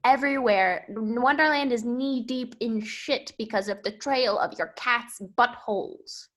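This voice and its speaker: A woman's voice: on the high side, a little slow, a bit bright. A woman playing an exasperated, money-minded Wonderland hatter, scolding and insistent in an improvised comedy sketch.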